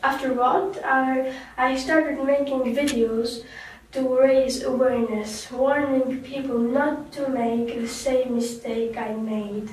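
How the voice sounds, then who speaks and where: A remorseful young woman, played by a woman tells her story slowly, stumbling and restarting. Her voice sits high. It sounds distant and echoey. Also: fairly even intonation, a little mellow, a little hiss or hum. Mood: earnest. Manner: slow, halting, with restarts. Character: young woman survivor, remorseful and soft-spoken. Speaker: guest in a staged talk show. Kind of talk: scripted role-play interview. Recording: echoey room, far from mic.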